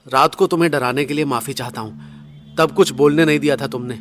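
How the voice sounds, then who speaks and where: A man's voice: medium pitch, fairly even intonation, fairly quick, faint music behind, close to an everyday voice. A man playing a persuasive, devoted young man on the run in an audio drama, earnest and coaxing. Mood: apologetic. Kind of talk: Hindi audio drama acting.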